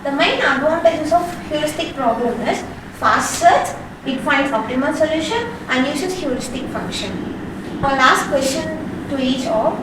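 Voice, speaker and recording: A young woman student with an Indian accent, reciting a prepared presentation in a halting way. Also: high-pitched, expressive. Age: young adult. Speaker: student presenter and quiz asker. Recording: very echoey, noisy, distant mic.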